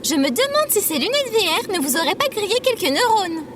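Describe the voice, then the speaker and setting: A small female robot companion with an extremely high voice. She is prim, formal and easily exasperated, swinging animatedly in pitch as audio drama dialogue. Clear noise sits behind her. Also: very animated, fast talker, fairly bright tone, heavily acted. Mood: concerned.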